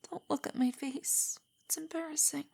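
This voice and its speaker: A woman playing a shy, nerdy young girlfriend in an intimate audio roleplay, soft and breathy, stammering with nerves. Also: high-pitched, fairly even intonation, moderate pace. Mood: flustered, embarrassed. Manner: nervous, stammering, hesitant rambling. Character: shy, nerdy, easily flustered young adult girlfriend on a date.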